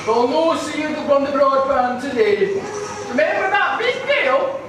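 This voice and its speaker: A man's voice: very high voice, lively intonation, unhurried. An actor playing a kindly, crafty old fisherman with a Scottish accent in a live puppet show. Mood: reassuring, bargaining. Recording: echoey noisy hall, far from microphone.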